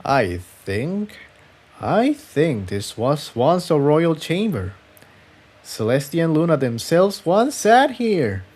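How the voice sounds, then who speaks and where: A man's voice: on the high side, sing-song, slow pace, a little mellow, slightly rough, voice pushed up, full character voice. A man voicing a skilled, grave male pony magician in a story reading, with a hesitant manner that repeats words. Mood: pensive.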